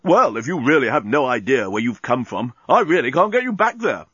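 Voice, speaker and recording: A man's voice: mid-range voice, very animated, a little fast, fairly bright tone, breathy edge, no echo, highs cut off. A man playing a gloomy, condescending alien engineer in an audiobook, sounding exasperated. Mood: gloomy, dismissive. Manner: patronizing, exasperated.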